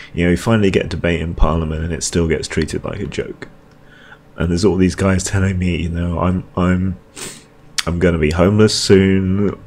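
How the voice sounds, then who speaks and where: A man with a very low, deep voice talks unscripted to his camera, weary and halting, often pausing on ums and starting his sentences over. A faint hum of room noise sits under him. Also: a little mellow. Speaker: video blogger. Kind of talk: unscripted reaction monologue.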